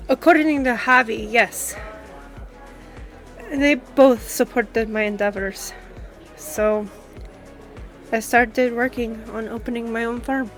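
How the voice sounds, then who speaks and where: Woman acting as female lawyer reminiscing, unhurried storytelling, slightly high voice, music behind, audio roleplay. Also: fairly bright tone. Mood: wistful. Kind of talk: scripted conversational audio roleplay.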